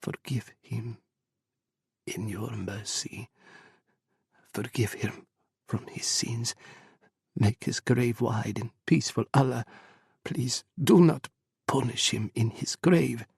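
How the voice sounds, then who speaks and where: A man voicing a grieving, devout middle-aged mother who speaks in hushed, tearful tones and turns accusing. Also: extremely low pitch, measured pace, creaky, breathy edge, no echo, studio quality, full character voice. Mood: whispered prayer.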